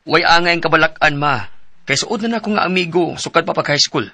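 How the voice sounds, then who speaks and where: Trusting young-family husband, radio drama. Cebuano accent, exclamatory, fairly high, forceful voice. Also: male voice, brisk, no echo. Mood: animated. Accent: Cebuano Filipino. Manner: animated, exclamatory. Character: eager, trusting husband and father, small investor.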